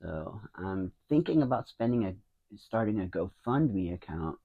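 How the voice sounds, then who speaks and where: A man in his fifties with a low, quiet voice, who mumbles and rambles with many restarts as he talks to camera. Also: expressive, a little slow, gravelly, husky, phone-quality sound. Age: 50s. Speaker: vlogger. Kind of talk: casual talking to camera. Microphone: wireless mic into a phone.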